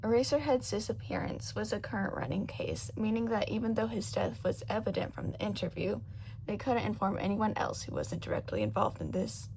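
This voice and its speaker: A woman reading a story as a narrator, in an expressive, dramatic scripted style. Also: medium pitch, brisk, slight background noise, rough recording. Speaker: audiobook narrator of fan fiction.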